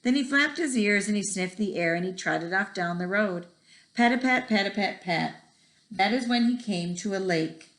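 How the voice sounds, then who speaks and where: A woman's voice: mid-range voice, animated, moderate pace, a bit bright. A woman reading a children's picture book aloud expressively, with a rhythmic delivery that draws out repeated words. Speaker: read-aloud storyteller. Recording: echoey room.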